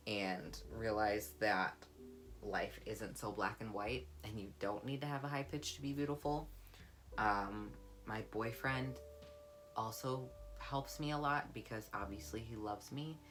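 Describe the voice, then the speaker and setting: A young woman with a deep, warm voice, speaking candidly and reflectively in a personal vlog. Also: rough recording. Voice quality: deep, low voice; warm, comforting. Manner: candid, reflective, soft-spoken. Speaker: vlogger. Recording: guitar music playing underneath. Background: guitar music.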